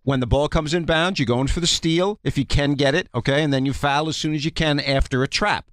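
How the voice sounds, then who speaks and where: A man's voice: fairly high pitch, fairly even intonation, brisk, fairly bright tone, no echo. A man analyzing basketball on sports talk radio, explaining step by step and checking in as he goes. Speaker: basketball analyst and studio guest.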